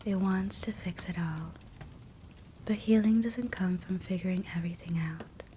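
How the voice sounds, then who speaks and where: A woman guiding a sleep hypnosis meditation in a soft, breathy whisper, gentle and soothing. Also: lowish voice, moderate pace. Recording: soft steady fireplace crackle noise. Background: fireplace ambience.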